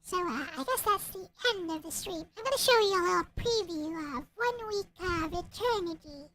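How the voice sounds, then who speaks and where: A woman with a small, childlike voice chatting casually and haltingly on a livestream about her own writing. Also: very high voice, expressive, a little hiss or hum, rough recording. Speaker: streamer and visual novel writer. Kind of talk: casual livestream chat showing own work. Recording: loud music behind the voice. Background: loud music and game sound effects.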